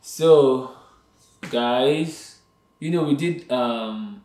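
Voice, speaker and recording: A man's voice: mid-range voice, drawn-out words, a little mellow, roomy sound. A young Nigerian man with a Nigerian accent, chatting playfully and dramatically on a couple's vlog and mixing in Yoruba phrases. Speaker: vlog co-host. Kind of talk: casual chat.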